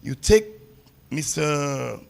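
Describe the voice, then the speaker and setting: A man's voice: on the high side, expressive, very slow, gravelly. A man giving a public ceremony speech as a table tennis coach, blunt and repeating phrases for emphasis.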